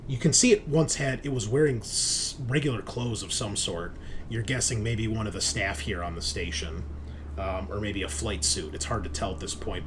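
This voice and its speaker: Man running a tabletop game, noisy background. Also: lowish voice. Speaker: game master. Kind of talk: narrating a tabletop horror game, improvised description and casual chat. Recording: noticeable background noise.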